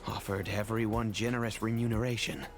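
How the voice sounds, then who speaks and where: A man plays a grave family patriarch in a video game drama. His voice is low, his delivery formal and measured, pausing with care over each thought. Music plays behind him. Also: steady tone, lightly acted. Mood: reflective storytelling. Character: grave, courteous older man, head of a wealthy family.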